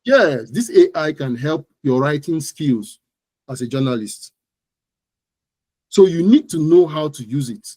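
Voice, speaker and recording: A man's voice: fairly high pitch, expressive, a little slow, pauses often, dark tone, husky, no room sound. Nigerian man giving instructive advice. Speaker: interview guest, digital marketing expert. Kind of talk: conversational explanatory interview answers.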